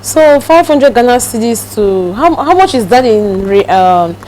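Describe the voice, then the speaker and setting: High-voiced Cameroonian woman hosting. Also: big pitch swings, measured pace, a little mellow, slight background noise, rough recording. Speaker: talk show host. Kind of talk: casual interview.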